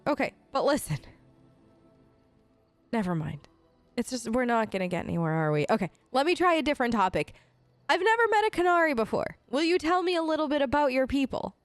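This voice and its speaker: A woman's voice: high-pitched, sing-song, a little fast, no room sound. A woman improvising in-character replies for a role-play let's play, chatty, casual and a little hesitant. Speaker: gaming host, role-playing as player character. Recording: game music under voice. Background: game music.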